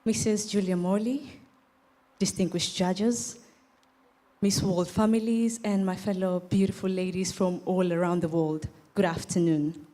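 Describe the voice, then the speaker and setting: A woman's voice: on the low side, steady tone, dark tone. A young woman from Tanzania with a Tanzanian accent, giving a prepared advocacy speech on stage as a pageant contestant in an earnest, emphatic manner. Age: young adult. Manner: earnest, emphatic, deliberate phrasing with rhetorical repetition.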